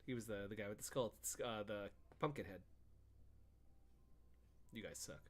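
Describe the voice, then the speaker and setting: A man's voice: on the low side, moderate pace. A man co-hosting a gaming show in casual banter, emphatic and playfully combative.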